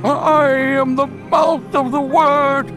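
High, crazed cult priest. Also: male voice, level tone, slow. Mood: bloodthirsty zeal. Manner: ranting, theatrical incantation. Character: crazed, fanatical male cult priest of a dark god. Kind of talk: old-time radio drama acting. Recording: dramatic music behind.

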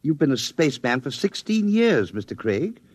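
A man playing a stern, condescending military psychologist in a radio drama, speaking in a measured, formal way. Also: on the high side, big pitch swings, a little mellow, no room sound. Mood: cool, cautioning. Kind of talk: 1950s radio drama acting.

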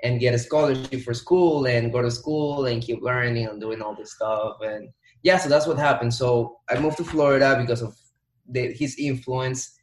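A man's voice: medium pitch, fairly even intonation, moderate pace. A man, an entrepreneur guest giving motivational advice in a casual interview, repeating words for emphasis. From Dominican Republic. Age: 20s. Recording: roomy echo.

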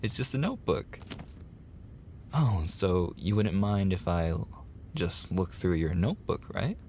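Soft, low, gravelly male college tutor, acted by a man. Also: animated, a little hiss or hum. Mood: teasing, curious.

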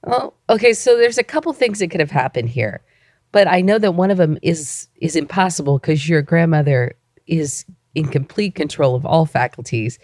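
A woman co-hosting a casual podcast, hoarse from a cold and talking in a wry, teasing way. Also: low voice, expressive, normal speed, a little mellow, no room sound, studio quality. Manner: wry and teasing, laughs often. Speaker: podcast co-host.

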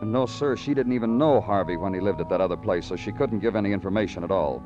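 Male actor for a terse, patient detective, old radio crime show: low, deadpan, music behind. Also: fast talker, muffled recording, natural voice. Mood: wry narration. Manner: clipped, deadpan. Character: terse, dry, patient male police detective narrating his case. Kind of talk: 1950s radio crime drama acting.

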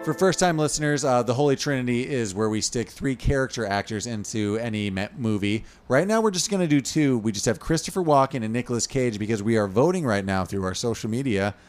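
A man co-hosting a casual movie podcast, talking quickly and jumping into loud impressions of film lines. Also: mid-range voice, soft background music. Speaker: podcast co-host.

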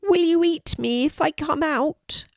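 A woman's voice: high voice, unhurried, vocal fry, dry recording, clean studio sound, pitched above the performer's own voice. A woman voicing a tiny, timid five-year-old boy dragon in a children's storybook, childlike, earnest and friendly.

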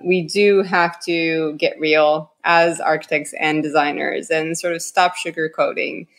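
Woman, architect guest, low voice, casual and loose, lots of "like". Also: steady tone, unhurried. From Turkey and the United States. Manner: casual, uses "like" and "you know" often. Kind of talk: podcast interview.

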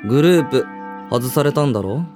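A reserved teenage boy played by a woman in a radio drama, very low in pitch and blunt in manner. His delivery moves expressively between curt replies and inward-looking narration. Music plays behind the voice. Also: fairly quick. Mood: deadpan, blunt. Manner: flat, curt dialogue and introspective narration. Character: reserved, blunt teenage high school boy, former ballet dancer. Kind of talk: radio drama acting and first-person narration.

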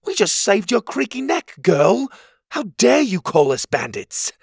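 A man's voice: on the high side, animated, normal speed, vocal fry, dry recording, clean studio sound, voice pushed up. A man voicing a brave, cocky young boy hero who talks proudly and boldly. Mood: indignant. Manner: proud, bold and boastful.

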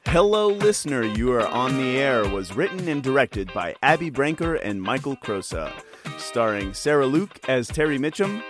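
Un homme lit le générique de fin d'une fiction audio, d'une voix très expressive et mouvante. Une musique de guitare bien audible l'accompagne tout du long. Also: male voice, mid-range voice, moderate pace. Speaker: narrator reading credits. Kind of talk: scripted credits reading. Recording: music under voice.

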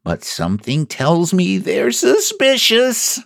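A man's voice: high-pitched, wildly swooping pitch, takes it slowly, creaky, a bit bright, no room sound, studio quality, voice pushed up, full character voice. A man voicing a small, earnest toy bear from a children's storybook, ponderous and solemn as he schemes. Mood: hushed, suspicious.

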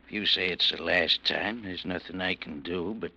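A man's voice: low-pitched, steady tone, moderate pace, gravelly, bright, forceful tone, exaggerated voice, rough recording. An actor playing a smug, menacing gangster in an old radio crime drama, with slangy tough-guy speech. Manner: slangy tough-guy drawl, veiled threats. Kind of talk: 1940s-50s radio crime drama acting.

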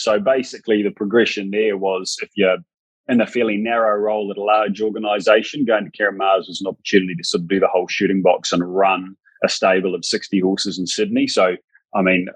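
A man in his thirties with a New Zealand accent, a guest on a podcast, talking in a relaxed, chatty way. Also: sits low, slightly rough, no room sound, poor audio. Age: 30s. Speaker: racehorse trainer guest. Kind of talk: podcast interview.

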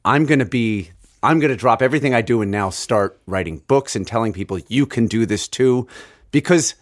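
A man co-hosting a casual podcast, probing with questions and thinking out loud. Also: medium pitch, a little fast. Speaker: podcast co-host. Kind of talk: casual chat.

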